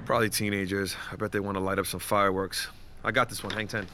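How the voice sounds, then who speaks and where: An actor playing a brash, foul-mouthed war-veteran coworker, blunt, pushy and joking, in a naturalistic audio drama. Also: male voice, on the low side, level tone, fast, heavy background noise, lightly acted. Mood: casual, alert.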